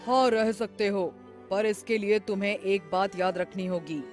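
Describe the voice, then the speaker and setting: A woman voicing a calm male sage in a children's story, measured and instructive. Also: mid-range voice, soft background music, lightly acted. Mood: calm, cautioning.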